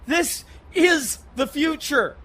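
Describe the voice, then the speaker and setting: Very high-pitched taunting villain. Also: male voice, slow pace, sharp tone, exaggerated voice. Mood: taunting, menacing. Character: taunting, menacing villain from a bleak future. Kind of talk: audio drama acting.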